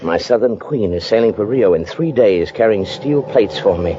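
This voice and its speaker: A man's voice: lowish voice, animated, normal speed, crowd noise, lightly acted. An actor playing a wealthy, protective father in old radio melodrama style, polished and firm but fond and teasing. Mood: decisive, fond. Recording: muffled, noisy old radio recording.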